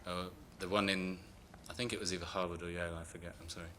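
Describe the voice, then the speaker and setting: A man in the audience with a very low, flat voice and a bright, forceful edge. He rambles and corrects himself, and faint noise sits behind him. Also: quick pace. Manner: rambling, loose, self-correcting. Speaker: audience member asking a question. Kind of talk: casual audience remark.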